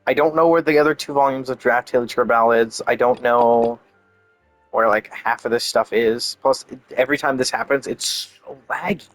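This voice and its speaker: A man's voice: mid-range voice, steady tone, normal speed. Man rambling casually over faint game music. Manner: rambling, thinking aloud. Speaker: game streamer. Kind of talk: casual gameplay commentary and chat.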